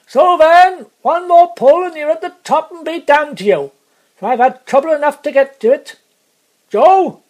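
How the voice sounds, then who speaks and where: A man voicing a gruff, exasperated working coachman in an audiobook, loud, blustering and complaining. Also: very high voice, moderate pace, pitched above the performer's own voice. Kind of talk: audiobook character voice.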